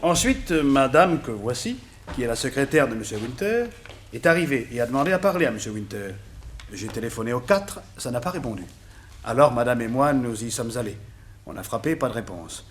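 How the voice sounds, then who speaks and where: An actor playing a fussy, pompous hotel keeper in a French radio detective drama, speaking with theatrical dignity. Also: male voice, mid-range voice, lively intonation, a little fast, a little hiss or hum, close to an everyday voice. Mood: grave recounting. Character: fussy, pompous male hotel keeper guarding his reputation.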